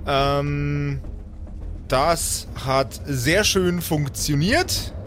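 A man's voice: on the high side, extremely slow, a bit bright. A man playing a tabletop role-playing game, chatting casually in German with English gamer slang mixed in. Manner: mixes English gaming slang into his German. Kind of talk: casual improvised game chat. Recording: faint music behind the voice. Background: music.

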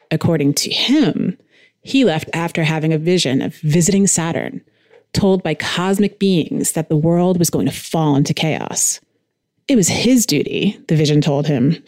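Lady podcast host reading a documentary script, lowish voice, pretty dry sound. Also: darkish tone, no room sound.

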